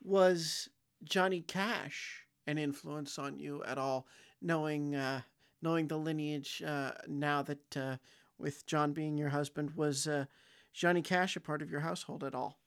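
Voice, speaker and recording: A man's voice: a little high, fairly even intonation, unhurried, a bit bright, smooth, no room sound, clean studio sound. A man hosting a podcast, friendly, asking questions with some hesitant "uh"s. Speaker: podcast host. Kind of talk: casual chat.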